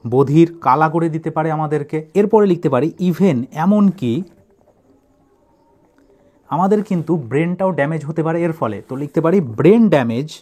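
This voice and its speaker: A man's voice: a little high, expressive, a little slow, dark tone. A man teaching English writing, dictating in short separated phrases and then explaining them.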